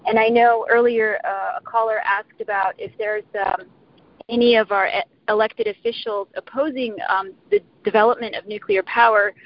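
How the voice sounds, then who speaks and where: A woman's voice: a little high, fairly even intonation. Earnest woman on a muffled call. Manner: earnest, halting with frequent restarts. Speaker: panelist on a telebriefing. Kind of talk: speaking on a conference call. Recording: muffled phone-line audio.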